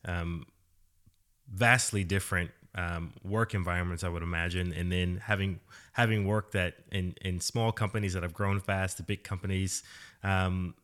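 Male podcast host, low voice, measured interviewer with long framing questions. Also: fairly even intonation, a bit bright.

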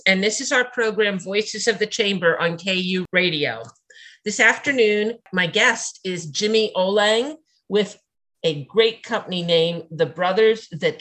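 Woman radio host, medium pitch, friendly interviewer. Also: a bit bright. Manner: friendly, prompting questions.

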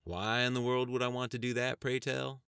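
A man's voice: medium pitch, big pitch swings, brisk, pushed and bright, no echo, clean studio sound, pitched above the performer's own voice. A man voicing a cantankerous gray-haired country sheriff in his fifties with an American country drawl, for a narrated story.

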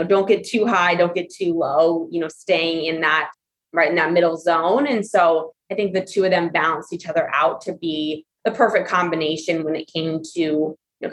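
A woman's voice: fairly low pitch, fairly bright tone, low-quality recording. A woman, a competitive swimmer, answering interview questions in a reflective, earnest way with frequent "you know" and "I mean".

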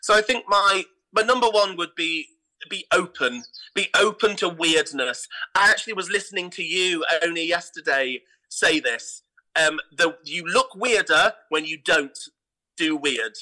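A man's voice: high voice, measured pace, sharp tone, rough recording. A British man chatting enthusiastically and casually as a theatre director being interviewed on a podcast.

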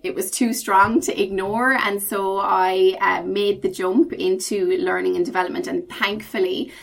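Irish woman guest with a gravelly edge, mid-pitched, upbeat, articulate casual interview talk. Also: fairly even intonation, unhurried, poor audio. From Ireland. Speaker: podcast guest, learning manager.

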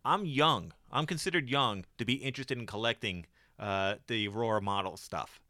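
A man's voice: fairly low pitch, normal speed, pushed and bright, dry recording, clean studio sound. A man in his forties in casual podcast conversation, who repeats words and restarts while he searches for a phrase. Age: 40s. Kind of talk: casual chat.

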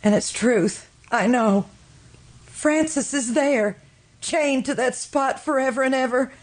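A woman's voice: sits high, slow. A woman playing a guilt-stricken, distraught woman in an old-time radio drama, earnest and melodramatic. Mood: anguished, insistent.

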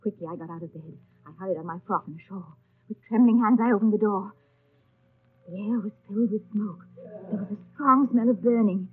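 An actress playing an earnest, reserved young governess in a 1930s radio drama, speaking and narrating in a grave, polite, restrained manner. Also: female voice, medium pitch, fairly quick, slightly rough. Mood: hushed, tense. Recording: muffled, tinny 1930s radio broadcast.

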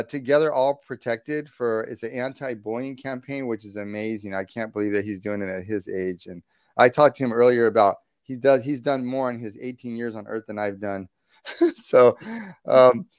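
A man's voice: mid-range voice, no echo, clean studio sound. A man hosting a podcast interview, enthusiastic and rambling, restarting his sentences. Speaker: podcast host. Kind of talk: casual interview.

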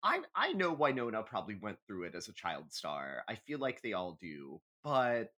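Male podcast co-host, very animated pitch swings, catty and theatrical, sweary, emphatic casual banter. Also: normal speed. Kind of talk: casual comedic chat.

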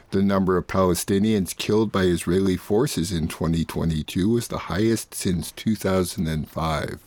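A man with a fairly low voice presents a radio programme from a script. His delivery is that of a host linking news reports, and the sound is close and dry. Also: darkish tone, dry recording.

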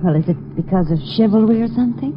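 A woman's voice: fairly high pitch, fast talker, close to an everyday voice. An actress playing a nervous, evasive young woman in a 1950s radio drama, hesitant and protesting. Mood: questioning. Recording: muffled, hissy old radio recording.

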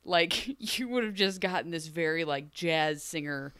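A woman from Ohio co-hosting a podcast, chatting casually and animatedly, her voice still a little deep after a cold. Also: unhurried, smooth, dry recording. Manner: animated, acts out quoted voices, laughs often. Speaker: podcast co-host. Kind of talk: casual chat.

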